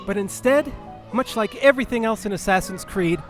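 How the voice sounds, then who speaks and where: A man's voice: sits high, fairly quick, faint music behind. A man delivering scripted video-essay narration as the host of a film review.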